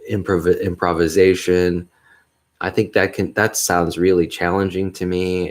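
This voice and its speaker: A man hosting a solo podcast, talking in a mellow bass voice, upbeat and rambling, with frequent chuckles. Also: gravelly. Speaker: podcast host. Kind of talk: casual solo podcast monologue.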